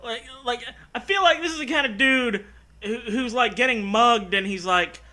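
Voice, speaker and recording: Super bright highish guy voice, sweary sarcastic riffing, just making fun of stuff casually. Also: moderate pace. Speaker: co-host riffing on a story. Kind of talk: casual comedic commentary.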